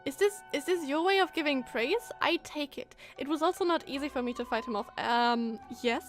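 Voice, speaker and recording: A woman streaming a game, giving casual, reactive commentary and thinking out loud. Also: high-pitched, moderate pace. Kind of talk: casual live gameplay commentary. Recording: game music behind the voice.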